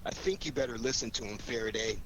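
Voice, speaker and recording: An actor playing a cool, needling, self-assured amateur sleuth with an American accent in a 1940s radio drama. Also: male voice, mid-range voice, fast talker, natural voice. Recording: faintly noisy 1940s radio recording.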